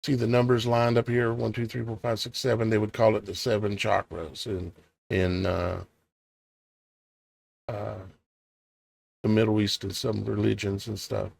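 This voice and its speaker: A man with a gravelly, fraying voice works through old scripture in a slow, measured cadence. Between readings he wonders aloud, circling back and asking questions. The sound is dry and close, with no room around him. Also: on the low side, little pitch movement, a little mellow, no echo. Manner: thinking aloud, questioning, hesitant restarts. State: tired, voice starting to go. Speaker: online preacher. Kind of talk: scripture reading with musing commentary.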